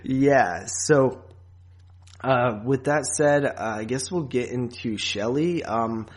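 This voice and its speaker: A man hosting a podcast in a casual, rambling, halting chat style with frequent asides. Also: medium pitch. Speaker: podcast host.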